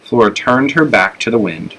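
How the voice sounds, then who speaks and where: Male storybook reader, American accent, bright and clear-edged tone, telling a children's tale. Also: mid-range voice, expressive, normal speed, rough recording. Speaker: elementary school teacher reading aloud.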